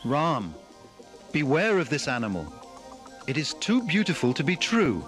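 A man's voice: a little high, very animated, a little slow, fairly bright tone, soft background music. A man voicing a loyal, cautious younger brother, earnest and warning.

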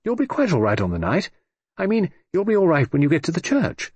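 A husky-voiced man voicing an elderly aristocratic great-aunt who is brisk, teasing and dry-witted. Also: fairly low pitch, huge pitch swings, darkish tone, dry recording, pitched above the performer's own voice, full character voice. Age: older.

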